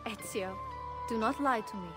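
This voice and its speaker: An actress playing a poised, teasing Italian madam in a video game, with an Italian accent. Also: female voice, a little high, moderate pace, natural voice. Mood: coy, businesslike. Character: poised, teasing Italian woman running a house of courtesans. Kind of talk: video game cutscene acting. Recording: music behind the dialogue.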